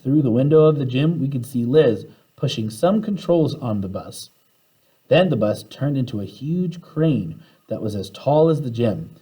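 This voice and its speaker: Husky man reading expressively to children. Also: medium pitch, moderate pace, rough recording. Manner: animated, acts out the characters' dialogue. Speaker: teacher reading a children's story aloud. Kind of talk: expressive children's storybook read-aloud.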